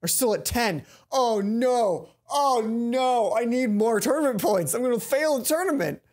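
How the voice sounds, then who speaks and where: A young adult man reading a visual novel aloud as a let's player, breaking into dramatic, expressive commentary on a headset mic. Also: very high-pitched, unhurried. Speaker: let's play host. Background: game music.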